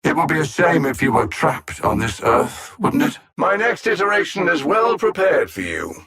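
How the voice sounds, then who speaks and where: An actor playing a cold, condescending alien machine intelligence bent on conquest, a video game villain who speaks with measured menace and sardonic understatement. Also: male voice, fairly high pitch, very animated, fairly bright tone, breathy edge, heavily acted. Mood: cold, calculating.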